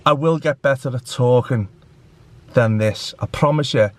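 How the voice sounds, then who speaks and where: A man's voice: medium pitch, a little slow, noisy background. A young man, chatting casually to camera in a rambling, self-mocking way while getting over the flu. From England. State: recovering from flu. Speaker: vlogger. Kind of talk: casual vlog chat to camera.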